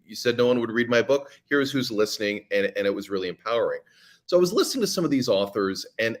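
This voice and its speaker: A man, a podcast guest, talking in a casual interview with many fillers and restarts. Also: fairly low pitch.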